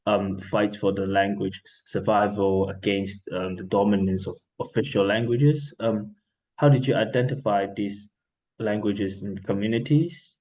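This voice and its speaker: Low-voiced male interviewer, halting. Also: fairly even intonation, darkish tone, poor audio. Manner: halting, many fillers and repeated words. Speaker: interviewer, book club host. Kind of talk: interview questions.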